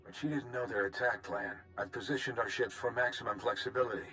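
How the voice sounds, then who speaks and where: An actor playing a composed fleet admiral in a video game cutscene, speaking in a crisp, formal military manner. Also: male voice, mid-range voice, fairly even intonation, fast talker, sharp tone, natural voice. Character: composed, authoritative male fleet admiral giving briefings. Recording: music behind the voice.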